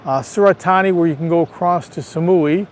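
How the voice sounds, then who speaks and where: A man with a raspy, allergy-roughened voice chatting casually to viewers while walking outdoors, recorded on a handheld phone. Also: a little high, a little fast, slight background noise. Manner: chatty, greets viewers by name. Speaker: livestream vlogger. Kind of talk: casual live walking tour. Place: outdoors on a town street.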